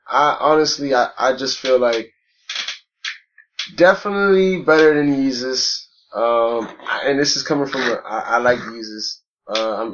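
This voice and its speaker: A man's voice: mid-range voice, a bit bright, a little hiss or hum. Profane, rambling man on a dull mic. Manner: loose, profane, many restarts and fillers. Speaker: podcast co-host. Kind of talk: casual chat.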